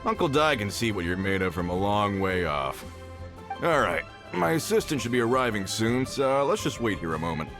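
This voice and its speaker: A man's voice: on the low side, big pitch swings, normal speed, music in the background. Hearty foreman with swooping delivery. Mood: jovial. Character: hearty, shrewd middle-aged male mining foreman. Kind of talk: video game voice acting.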